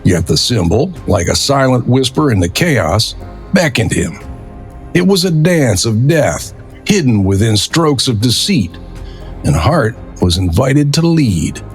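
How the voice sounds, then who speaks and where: A man's voice: mid-range voice, sing-song, measured pace, soft background music. A man narrating a detective audio drama in a brooding, dramatic storytelling manner. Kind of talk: scripted noir narration.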